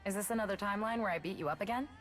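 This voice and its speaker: A woman's voice: mid-range voice, rapid-fire, faint music behind, natural voice. A woman playing a cocky, mocking, combative female rebel in a fantasy video game. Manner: mocking, cocky, sharp-tongued. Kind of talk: video game character voice lines.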